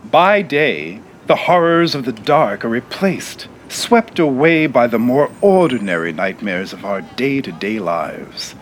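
A man narrating a dark satirical audio drama as a solemn, wry, deliberate storyteller. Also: medium pitch, animated, slight background noise, natural voice.

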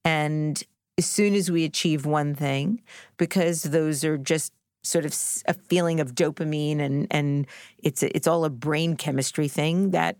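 Female interview guest, middle-aged, deep for a woman, introspective and candid, very dry studio-close sound. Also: steady tone, a little slow, darkish tone, no room sound. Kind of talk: personal, reflective storytelling in an interview.

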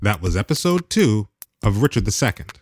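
A man hosting a podcast with brisk, scripted links. His pitch moves in a lively way, and faint synthesizer music plays softly behind him. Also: slightly rough, dry recording. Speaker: podcast host.

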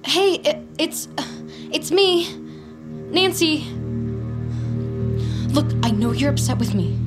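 A woman's voice: sits high, close to an everyday voice. An actress playing an anxious, scheming woman in an audio drama, sardonic and dramatic, often talking to herself. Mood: pleading, urgent. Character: Nancy, anxious, scheming, vindictive woman in crisis. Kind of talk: scripted fiction podcast acting, monologue and dialogue.

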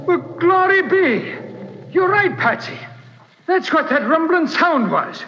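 A man's voice: extremely high pitch, sing-song, takes it slowly, heavily acted. A man in a 1940s radio play acting a blustering police lieutenant who stammers and exclaims. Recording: dull old radio broadcast, hall echo.

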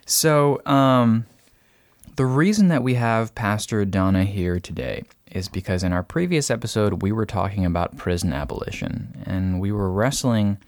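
A man hosting a casual podcast, reading out a listener's letter and talking thoughtfully with many pauses and fillers. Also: fairly low pitch, no echo, studio quality. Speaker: podcast host.

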